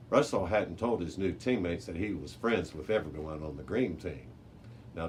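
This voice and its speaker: Low-voiced man reading a children's story. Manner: occasional stumbles and self-corrections while reading. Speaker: library storyteller reading aloud. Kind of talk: children's audiobook read-aloud. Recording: noisy amateur recording with background hiss.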